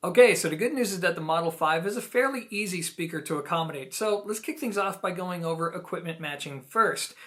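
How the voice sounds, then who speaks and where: Higher-pitched man reviewing audio gear. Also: fairly quick, hardly any pauses, fairly bright tone. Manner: conversational, emphatic opinions, addresses viewers directly. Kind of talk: video product review, explanatory talk to camera.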